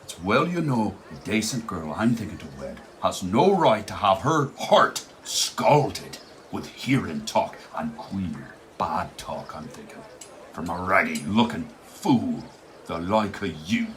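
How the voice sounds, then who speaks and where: An actor playing a burly, gruff, jealous Irish village blacksmith in a radio play, blunt and menacing. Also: male voice, deep voice, sing-song, slow, a bit bright, slight background noise, full character voice. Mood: indignant. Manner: menacing, blunt dialect speech. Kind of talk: theatrical radio play acting.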